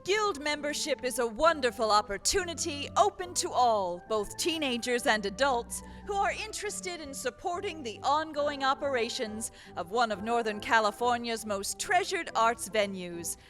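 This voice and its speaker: Animated woman narrating radio drama. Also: a little high, normal speed, few pauses, soft background music. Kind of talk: scripted radio play narration.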